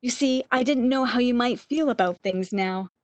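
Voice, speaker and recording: Lady acting a wistful sick woman in bed, highish voice, dry recording, breathless old radio melodrama. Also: moderate pace, no echo, lightly acted. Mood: emotional, relieved. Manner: breathless, emotional, old-fashioned melodramatic phrasing. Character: bedridden lovesick woman, wistful, eager, longing for lost love.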